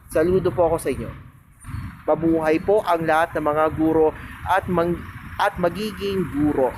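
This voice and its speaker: A man's voice: high voice, background noise. A man with a Filipino accent giving an informal, polite and respectful spoken greeting message. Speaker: video greeter giving a public address.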